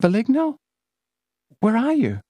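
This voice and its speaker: Guy putting on a scared little girl voice, shrill and whiny, gravelly edge. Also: sing-song, moderate pace, no echo, exaggerated voice. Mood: fearful. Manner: shrill and pleading. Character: frightened young girl, blonde and stubborn.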